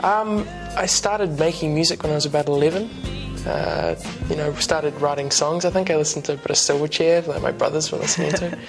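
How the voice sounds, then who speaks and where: A young man in his twenties with a New Zealand accent, chatting as a musician guest in a relaxed, rambling way with lots of ums and restarts. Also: fairly high pitch, a little fast, creaky, few pauses, over music. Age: 20s. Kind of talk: casual interview chat. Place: TV studio couch.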